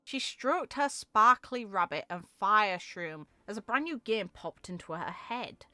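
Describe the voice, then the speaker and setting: A woman's voice: medium pitch, lively intonation, measured pace, pushed and bright, no room sound. A woman reading a story aloud expressively, with casual offhand asides in the manner of a gaming channel host.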